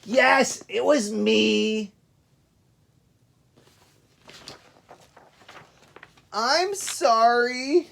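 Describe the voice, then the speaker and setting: A troublesome little boy from a picture book, acted out by a man reading aloud. The voice is pitched very high and the short phrases are stretched out with emphasis, apologetic in temper. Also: expressive, drawn-out words, vocal fry, fairly bright tone, slight background noise, heavily acted. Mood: sorry confession. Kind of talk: storybook read-aloud.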